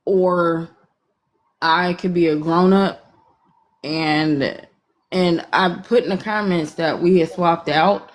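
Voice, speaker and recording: A woman's voice: fairly low pitch, fairly even intonation. Frank woman on a phone call. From Atlanta, Georgia, US. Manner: frank, self-deprecating, talks in quick bursts. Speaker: caller on a live stream. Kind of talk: candid casual storytelling.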